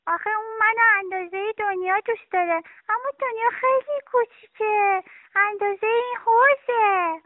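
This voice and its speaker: A woman's voice: very high voice, dry recording, pitched above the performer's own voice. A woman voicing a sulky, tearful little girl in a children's story, with a whiny, pouting delivery. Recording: tinny phone-like dry sound.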